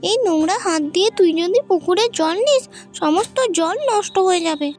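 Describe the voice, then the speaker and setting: Really high bossy village girl done by a voice actor, scolding cartoon style, music behind. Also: normal speed, darkish tone. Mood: scolding, haughty. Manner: scolding, emphatic. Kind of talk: cartoon voice acting, dramatic dialogue.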